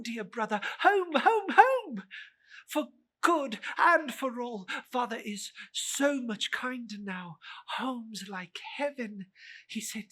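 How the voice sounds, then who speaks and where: Little girl, very high voice, breathless, gushing, affectionate, storybook sister. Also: fairly bright tone, full character voice. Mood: overjoyed.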